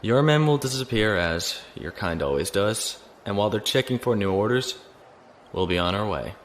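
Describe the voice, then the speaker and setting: An actor in a science fiction audio drama playing a composed noble lieutenant with a polite, dry, formal manner. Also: male voice, fairly low pitch, animated, moderate pace, lightly acted. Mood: cool, firm. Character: composed, courteous noble space-navy lieutenant on the run. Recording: noisy ambient background.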